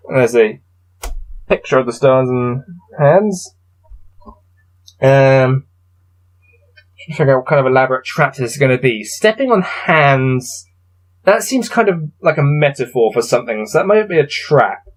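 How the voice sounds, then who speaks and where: Man, slightly high-pitched voice, casual gamebook host, reading aloud, wry and self-deprecating. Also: poor audio. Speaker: host playing through a gamebook.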